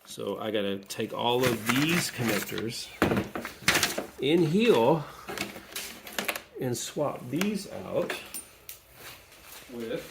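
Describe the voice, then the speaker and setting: A man's voice: medium pitch, lively intonation, very slow, pauses often. A man casually narrating a hands-on repair, thinking aloud in an informal way as he works. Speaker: DIY repair video maker. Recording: clearly noisy home video recording.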